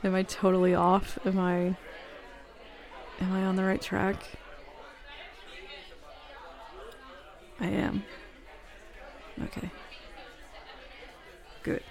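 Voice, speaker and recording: A woman playing a flustered, smitten, jealous roommate in an intimate roleplay audio, speaking haltingly and nervously. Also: mid-range voice, completely flat delivery, a little mellow. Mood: desperate, hopeful, then relieved, flirtatious. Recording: bar crowd murmur behind voice.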